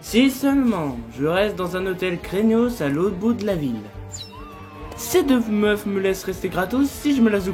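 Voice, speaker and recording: A woman voicing a cocky, crude male demon porn star, flirtatious and sarcastic, in an amateur French cartoon fandub. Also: on the low side. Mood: sly, boastful. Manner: sarcastic, teasing, vulgar slang. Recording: music behind the voice.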